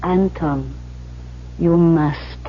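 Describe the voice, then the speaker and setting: An actress playing an older, brisk and affectionate piano teacher with an imperious, theatrical delivery in a radio drama. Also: female voice, low voice. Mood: brisk, commanding. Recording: dull lo-fi radio recording with hum.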